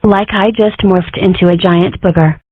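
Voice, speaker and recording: A woman's voice: on the low side, steady tone, normal speed, breathy edge, dry recording. A woman voicing a mischievous, dramatic young wolf girl in a comic dub, sarcastic and declamatory. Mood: dramatic worry. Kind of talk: amateur comic strip dub. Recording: muffled, phone-like lo-fi audio.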